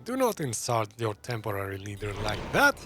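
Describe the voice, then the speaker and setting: A man's voice: on the low side, wildly swooping pitch, a little fast. A man making teasing, playful asides as he commentates on a video game. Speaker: gamer, playthrough commentator.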